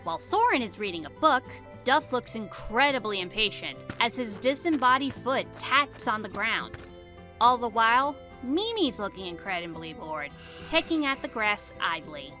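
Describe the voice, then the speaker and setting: Bright, animated woman narrating a drama. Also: fairly high pitch, moderate pace, soft background music. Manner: suspenseful, theatrical. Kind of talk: dramatic scripted storytelling narration.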